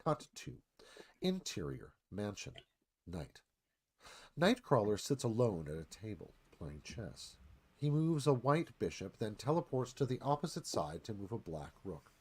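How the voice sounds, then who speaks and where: A man's voice: lowish voice, very animated, measured pace, a little mellow, slightly rough. A man narrating a screenplay, reading out scene headings and stage directions.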